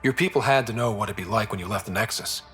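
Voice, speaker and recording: An actor playing an easygoing young space explorer and leader in a video game, casual and wry. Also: male voice, lowish voice, quick pace, fairly bright tone, big-room sound, soft background music, close to an everyday voice. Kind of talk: video game dialogue acting.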